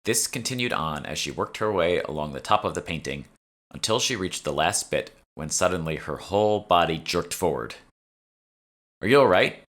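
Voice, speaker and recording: A man narrates a first-person fantasy story in a deep register. His tone is bright and forceful, and he reads as an author would from his own scripted book. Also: expressive, normal speed. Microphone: close USB studio microphone.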